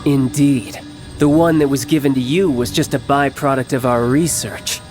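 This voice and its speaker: An actor playing a cocky, battle-hungry young villain in a video game cutscene, speaking in a measured, smug and theatrical way with formal phrasing. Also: male voice, fairly high pitch, a little mellow, natural voice.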